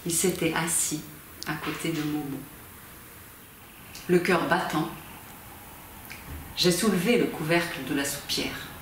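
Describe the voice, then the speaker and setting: A woman in her fifties, a volunteer amateur reader, gives a theatrical reading of a novel with measured, expressive phrasing, recorded indoors in a small library corner by a camera at a distance. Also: deep voice. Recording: very echoey room, faint background noise.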